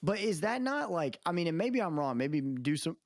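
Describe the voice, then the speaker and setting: A man's voice: on the high side, normal speed, no echo, studio quality. A man chatting casually as a podcast co-host, arguing his point in informal, slangy language. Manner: animated, argumentative, informal slangy talk.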